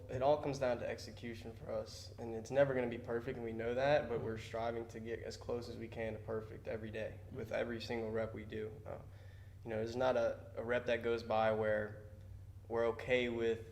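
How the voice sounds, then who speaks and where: A man answering an interview question as a baseball player, in a steady, measured way. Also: mid-range voice, vocal fry, slight background noise.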